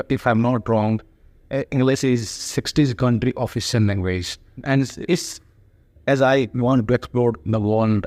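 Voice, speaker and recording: Hesitant man, low dry voice. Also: darkish tone, dry recording. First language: Hindi. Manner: hesitant, many pauses, politely says sir. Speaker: student and author being interviewed. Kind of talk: halting conversational answers.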